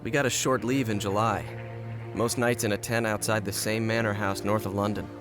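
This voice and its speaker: Man acting as low-voiced American paratrooper, music behind. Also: fairly even intonation, brisk, close to an everyday voice. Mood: wry, reflective. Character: weary American paratrooper narrating the war, wry and plainspoken. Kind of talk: scripted first-person war narration.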